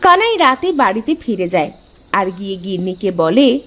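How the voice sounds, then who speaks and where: A woman's voice: medium pitch, very animated, normal speed, fairly bright tone. A woman giving scripted storybook narration as the narrator of a folk-style tale.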